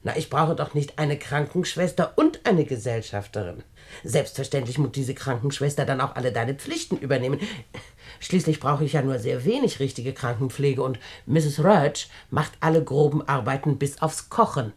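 Low-voiced, domineering old aunt. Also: female voice, lively intonation, normal speed, husky, exaggerated voice. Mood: brisk, imperious. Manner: imperious, coquettish, theatrical. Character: domineering, sly, rich old widowed aunt. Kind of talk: German radio play acting.

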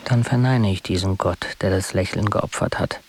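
An actor playing a sombre, defiant poet-witness in a literary radio drama, speaking gravely in declarations. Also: male voice, low voice, moderate pace, background noise.

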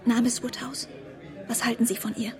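A woman's voice: a little high, little pitch movement, normal speed, lightly acted. A woman acting in a radio play as a naive, sweet young girl, earnest and admiring.

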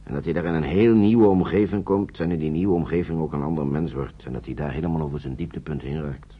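A very low, husky-voiced man in a wartime radio drama, earnest and persuasive. He picks his words deliberately as he protects children in his care. The old recording sounds dull. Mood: earnest, measured. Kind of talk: Dutch radio play acting.

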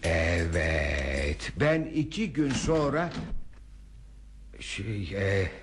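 A man's voice: low voice, very animated, extremely slow, heavily acted. An actor playing an older man, courteous, hesitant and childishly eager, in a radio drama. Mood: bashful politeness. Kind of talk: Turkish radio theatre acting. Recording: noisy old radio recording.

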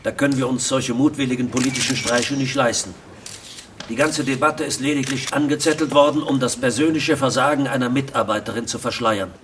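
An actor playing the defensive boss of a small delivery company in a radio drama, indignant or slick. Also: male voice, mid-range voice, fairly even intonation, a little fast, far from the mic, noisy background, natural voice. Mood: slick, official. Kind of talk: naturalistic radio drama acting.

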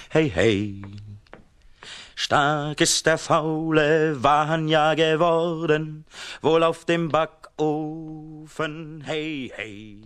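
An actor playing a cheerful young peasant, singing a folk song in a children's radio play. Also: male voice, a little high.

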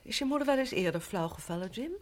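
A woman's voice: medium pitch, animated, fast talker, lightly acted. A woman playing a composed, sympathetic party guest in a radio drama. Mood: concerned.

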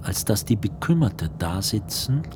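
An actor playing the sober narrator of a literary radio play, speaking in a measured, deliberate way. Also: male voice, lowish voice, very animated, noisy background. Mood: hushed, pensive. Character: sober male storyteller of a dreamlike literary tale.

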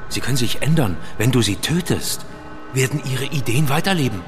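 An actor playing a calm, principled journalist in a radio drama, speaking in a steady, persuasive way. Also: male voice, mid-range voice, moderate pace, close to an everyday voice. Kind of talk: radio play acting. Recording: music underneath.

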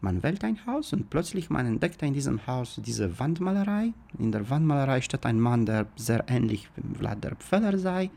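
A man's voice: mid-range voice, big pitch swings, moderate pace, gravelly, few pauses, a little mellow. A man from Romania with a Romanian accent, explaining things as an academic in an interview, speaking a second language.

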